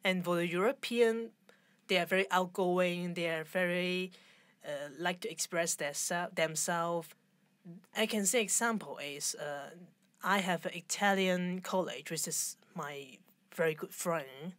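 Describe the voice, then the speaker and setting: Low-pitched Hong Kong woman in interview. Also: a bit bright, no room sound. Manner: halting non-native English phrasing. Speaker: interview guest, fashion designer. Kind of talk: conversational interview.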